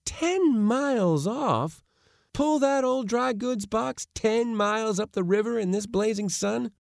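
A man's voice: medium pitch, very animated, moderate pace, no room sound, studio quality, pitched above the performer's own voice, natural voice. A man voicing a boyish young nephew in a storybook reading, exclamatory, disdainful and complaining. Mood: incredulous.

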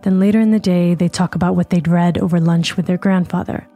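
A woman narrates a documentary podcast in a low, mellow voice. Her delivery is measured and scripted. Faint music plays behind her. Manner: measured, even storytelling. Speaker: podcast host.

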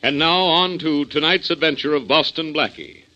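A man's voice: a little high, moderate pace. A man announcing a radio drama in a formal 1940s style. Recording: dull, very noisy old radio recording.

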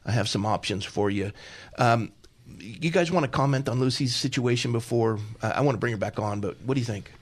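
A middle-aged man hosting a talk radio show, talking fervently and pastorally, often repeating himself in quick bursts. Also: medium pitch.

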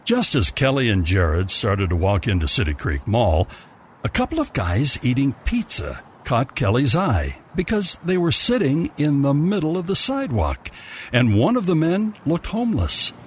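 A man's voice: mid-range voice, wildly swooping pitch, normal speed, a little hiss or hum. A man narrating a scripted radio story as the programme's announcer. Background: music.

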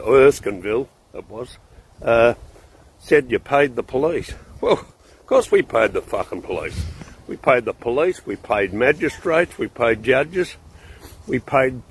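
A man's voice: medium pitch, slight background noise, rough recording. A man, a former gangster, speaking bluntly and defiantly, heavy with profanity. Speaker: former gangster on social media. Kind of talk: blunt monologue to camera.

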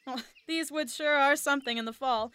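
A woman's voice: high-pitched, brisk, pushed and bright, no room sound. An actress playing a folksy, patronizing woman forest officer in an audio drama, friendly with a faint note of warning.

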